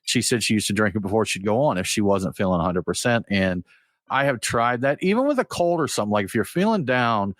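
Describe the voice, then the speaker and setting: Guy hosting a beer podcast, lively up and down voice, dry sound, chatty and jokey. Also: fairly low pitch, quick pace, no echo. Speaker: podcast host. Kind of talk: casual chat.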